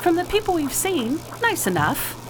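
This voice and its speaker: Woman acting a lively, spirited space heroine. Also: very high voice, moderate pace, noisy background. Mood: chatty. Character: spirited, caring young wife aboard a starship. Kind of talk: space opera radio drama acting.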